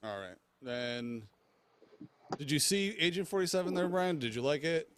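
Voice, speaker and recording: A man co-hosting a casual film podcast, explaining his views in a halting, measured way. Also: on the high side, lively intonation, slight background noise. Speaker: podcast co-host.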